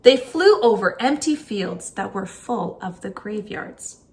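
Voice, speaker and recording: Animated woman reading a picture book. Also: mid-range voice, measured pace. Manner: animated, dramatic storytelling. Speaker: teacher reading a story aloud. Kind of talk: expressive children's picture book read-aloud.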